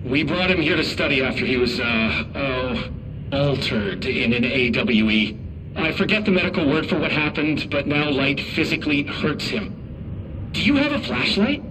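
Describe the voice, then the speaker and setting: An actor playing a chatty, awkward, nervous security officer in a video game, rambling and correcting himself over an intercom. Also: male voice, mid-range voice, animated, moderate pace, recorded from a distance. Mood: anxious, urgent. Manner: rambling, self-correcting, earnest. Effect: intercom speaker. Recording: echoey intercom, noisy game ambience.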